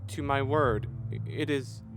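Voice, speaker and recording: A man playing an anguished, vengeful young prince in a Shakespearean audio drama, with an intense, halting, theatrical delivery. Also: medium pitch, fairly even intonation, lightly acted. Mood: bitter, resolved. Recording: music under the voice. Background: music.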